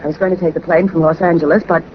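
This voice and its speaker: A woman's voice: fairly low pitch, little pitch movement, rapid-fire, natural voice. An actress playing an evasive, anxious young woman in trouble, with clipped, defensive 1940s radio drama delivery. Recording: muffled old radio recording, faint hiss.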